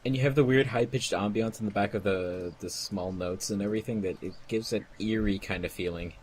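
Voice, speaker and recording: A man's voice: on the low side, a little fast, a little hiss or hum. A man giving casual group commentary as a member of a fandub crew.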